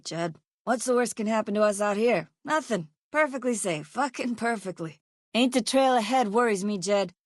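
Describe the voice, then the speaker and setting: A woman's voice: medium pitch, no room sound, clean studio sound, natural voice. A woman playing a foul-mouthed, sardonic ex-sheriff turned caravan guard in a video game, blunt and folksy in her speech. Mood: sarcastic, bitter, then wary. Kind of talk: video game character voice lines.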